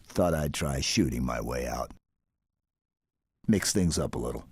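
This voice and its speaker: Laconic armored soldier, extremely low husky voice, understated, deadpan, video game cutscene. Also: male voice, a little slow, no room sound, clean studio sound, full character voice. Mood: dry resolve. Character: stoic, laconic armored male super-soldier.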